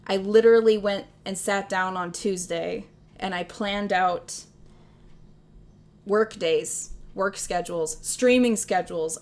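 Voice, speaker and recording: A young woman with a Midwestern accent, chatting casually on a livestream, rambling and self-deprecating, laughing often. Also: medium pitch. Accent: Midwestern American. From American Midwest. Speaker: streamer.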